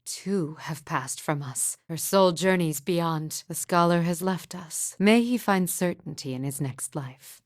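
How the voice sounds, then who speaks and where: An actress playing an enigmatic, mournful woman seer in a fantasy video game, speaking in a formal, cryptic and solemn manner. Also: fairly low pitch. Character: enigmatic, soft-spoken, mournful woman seer, veiled from others' eyes.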